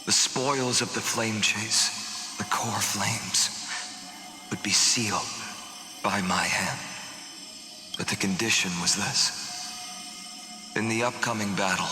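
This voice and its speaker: Slow, solemn swordsman in echoing reverb. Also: on the low side, over music. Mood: solemn, reflective. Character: solemn nameless swordsman, weary man burdened by fate. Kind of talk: dramatic game dialogue and narration.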